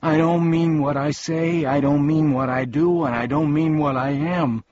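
A man's voice: mid-range voice, measured pace, dull audio, a little hiss or hum, pitched above the performer's own voice, close to an everyday voice. A man voicing a small furry, insincere storybook demon who boasts with dramatic flair of being a frightening monster. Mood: whimpering, sulky. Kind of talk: storybook character voice.

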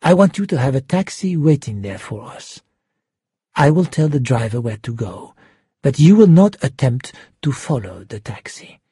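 A man voicing an angry, cold gunman in an audiobook, giving hard, clipped, often hushed threats. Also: medium pitch, big pitch swings, moderate pace, husky, no room sound, clean studio sound. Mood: cold, commanding. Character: menacing, angry male gunman, cold and commanding. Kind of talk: audiobook character voice.

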